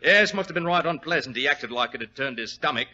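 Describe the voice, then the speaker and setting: A testy, gabby, slow-witted rural stationmaster in 1940s radio style. His voice is strikingly sharp and rises and falls freely. The recording is dull and old. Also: medium pitch, animated. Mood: fussy, talkative.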